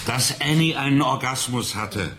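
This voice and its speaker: A man acting a steady, matter-of-fact police detective in a radio drama, laconic and factual. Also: medium pitch, normal speed, background noise, close to an everyday voice. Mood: reasoning.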